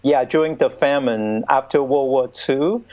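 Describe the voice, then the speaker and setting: A man's voice: mid-range voice, a little slow, darkish tone. A man in his fifties from Vietnam, speaking as an interview guest. He recounts his past hesitantly, with frequent restarts. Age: 50s. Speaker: Buddhist monk, guest. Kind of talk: conversational interview. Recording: dull lo-fi sound.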